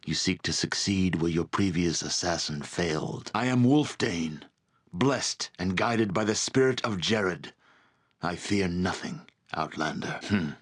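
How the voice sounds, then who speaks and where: An actor playing a proud, domineering barbarian chieftain with a dragon's spirit in a video game, speaking with gravelly, imperious contempt. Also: male voice, sits low, full character voice. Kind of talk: dramatic video game voice acting.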